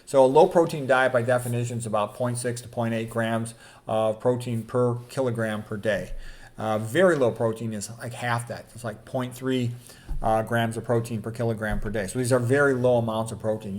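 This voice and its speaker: A man lecturing informally as a doctor, reading out quotes and adding blunt, opinionated, colloquial commentary. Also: mid-range voice. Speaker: doctor presenting a health lecture.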